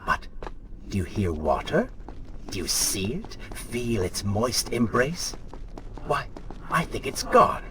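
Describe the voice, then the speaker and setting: Evil alter ego, sardonic n taunting, insinuating, pitch all over the place, video game villain, noise behind. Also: male voice, huge pitch swings, takes it slowly, long pauses. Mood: mocking menace. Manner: mocking, sly, insinuating. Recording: noisy game capture with ambience.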